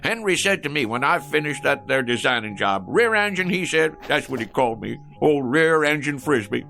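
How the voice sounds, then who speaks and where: A boastful old American gas station owner in a radio drama, with a gravelly, bright voice that swings up and down. He rambles in a folksy way and fumbles for his words, with faint music underneath. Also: a little high, big pitch swings, normal speed, full character voice. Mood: boastful, cheerful.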